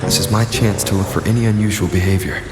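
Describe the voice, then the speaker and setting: An actor playing an observant young man in a video game, voicing measured, understated thoughts to himself. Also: on the low side, steady tone, natural voice. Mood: quietly observant. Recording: echoey long reverb, loud music underneath.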